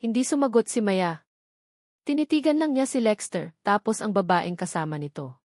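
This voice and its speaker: A Filipino woman narrates a dramatic audio story in a mid-pitched voice. The sound is dry, with very little room around her, and the delivery is scripted storytelling. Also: moderate pace, a little mellow, dry recording.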